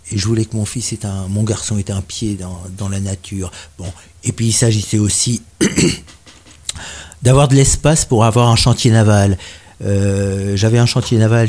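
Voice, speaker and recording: A man's voice: on the low side, mellow. A man in his sixties from Paris, a carpenter and author talking in a radio interview, hesitant and self-deprecating with many fillers. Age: 60s.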